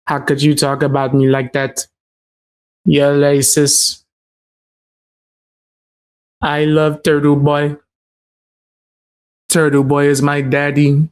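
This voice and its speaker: A man's voice: on the high side, completely flat delivery, clear, resonant tone, rough recording. Male commentator as an easily offended creepy man, dragged-out delivery, whiny and indignant, mock bedtime-storyteller. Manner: drawn-out, mocking imitation.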